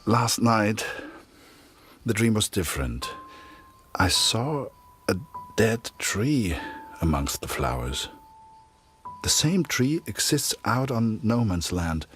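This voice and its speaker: An actor playing a grave, weary middle-aged soldier, narrating solemnly and with measure in a dramatic game. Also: male voice, on the low side, big pitch swings, slow, pauses often, fairly bright tone, faint music behind, full character voice. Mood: solemn, haunted. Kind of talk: scripted dramatic narration, letter-like.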